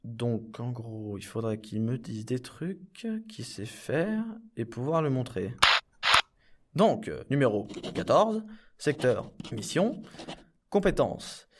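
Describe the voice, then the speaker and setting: A man's voice: mid-range voice, big pitch swings, takes it slowly, a little mellow. A man playing an inarticulate clone henchman in a comedy audio drama, rambling, hesitant and easily exasperated. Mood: muddled, hesitant.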